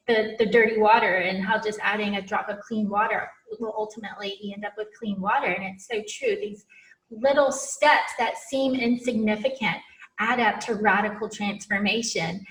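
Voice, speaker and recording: A woman host with a slightly high voice, gushing with encouragement. Her audio sounds roomy and distant from the mic. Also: fairly bright tone. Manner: effusive, encouraging. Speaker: podcast host. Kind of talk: supportive interview hosting.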